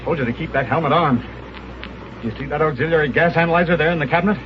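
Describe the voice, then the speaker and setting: An actor playing a confident male space hero, brisk and authoritative, in 1930s radio serial style. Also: fairly high pitch, natural voice. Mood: urgent, commanding. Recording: dull, noisy 1930s radio recording.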